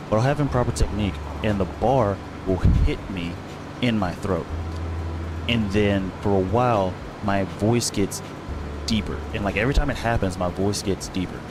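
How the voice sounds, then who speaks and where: A man's voice: lowish voice, noisy background. Rambling young man streaming a game. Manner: rambling, chatty, lots of fillers and self-corrections. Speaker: gaming streamer. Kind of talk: casual chat while streaming a farming game, answering chat.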